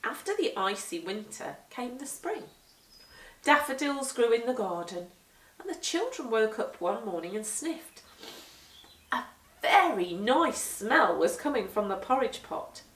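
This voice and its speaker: A woman tells a children's story in a fairly high, highly melodic voice. Her delivery is unhurried and emphatic, shaped for young listeners. The sound is roomy, picked up at some distance from the microphone. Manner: deliberate, animated, emphatic storytelling. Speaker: storyteller reading aloud to children. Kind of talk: expressive children's picture-book read-aloud.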